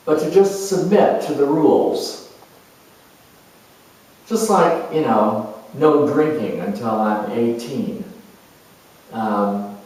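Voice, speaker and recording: Man acting out slow, burdened young man, echoey. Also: mid-range voice, darkish tone. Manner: weary, halting, resigned. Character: bored, burdened young Capricorn man resenting his father. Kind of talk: dramatized monologue. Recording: distant mic in echoey room.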